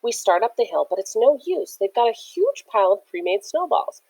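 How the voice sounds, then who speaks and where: A woman reading a children's book aloud as a teacher, in an expressive, theatrical way. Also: a little high, fairly quick, slightly rough, poor audio.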